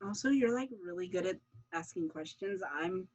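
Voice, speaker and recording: A woman's voice: on the low side, animated, normal speed, darkish tone. A giggly woman chatting casually as the guest of an interview. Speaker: series creator being interviewed. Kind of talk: casual chat.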